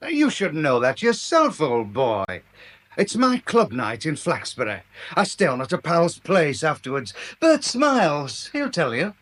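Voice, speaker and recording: A man's voice: fairly high pitch, huge pitch swings, measured pace, a bit bright, exaggerated voice. An actor playing a bluff, chummy English local man with an offhand, matey manner, in a British radio drama. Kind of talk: British radio comedy-mystery drama acting.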